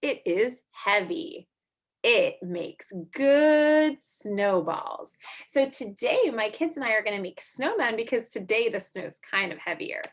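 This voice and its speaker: A woman in her thirties reading aloud and explaining to young children in an animated, expressive way, like a teacher. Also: fairly high pitch, slow pace. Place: small furnished room at home, indoors.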